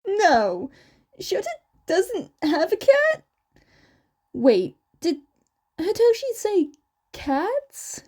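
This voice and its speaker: A woman voicing an excitable, worried, caring family man in a story, with emphatic delivery. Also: very high voice, very slow, long pauses, voice pushed up, exaggerated voice. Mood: caring, puzzled.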